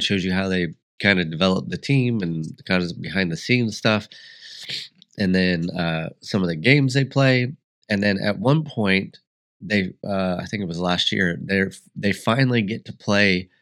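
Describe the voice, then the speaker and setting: A man's voice: low voice, measured pace, fairly bright tone. A man hosting a solo podcast, congested and sniffly, talking in a rambling, reflective way with frequent restarts. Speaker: podcast host.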